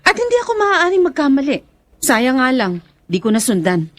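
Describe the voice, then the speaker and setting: A woman playing a suspicious, impatient and sharp-tongued wife in a melodramatic radio drama. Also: high voice, sing-song, normal speed, no echo. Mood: suspicious, insistent.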